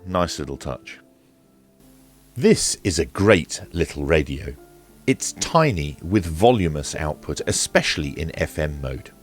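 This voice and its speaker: A man with an extremely low, deep voice presents a hands-on gear demonstration. He explains things in a measured, matter-of-fact way, with faint music in the mix. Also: sing-song, slightly rough. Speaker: presenter of a radio equipment review. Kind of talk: hands-on demonstration and review commentary.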